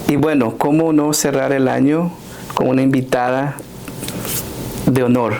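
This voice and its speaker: Male podcast host with faint background rumble. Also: steady tone, unhurried, dark tone. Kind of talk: conversational podcast interview.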